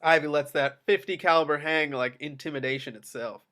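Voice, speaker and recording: A man's voice: fairly high pitch, fairly even intonation, a little fast, sharp tone. A man reading screenplay action lines as the narrator at a table read. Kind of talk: screenplay stage-direction narration.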